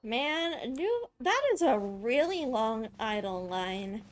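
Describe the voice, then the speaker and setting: A woman gaming streamer giving casual, informal reaction commentary, thinking out loud as she plays. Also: fairly high pitch, big pitch swings, takes it slowly, a little hiss or hum. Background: video game music.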